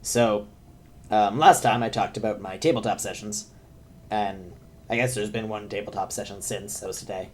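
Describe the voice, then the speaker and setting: A man's voice: lowish voice, moderate pace, slight background noise. A young man who is sick, talking in a rambling, self-deprecating way with many fillers as he comments on a video game. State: sick, deep voice. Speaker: gaming commentator. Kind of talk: let's play commentary.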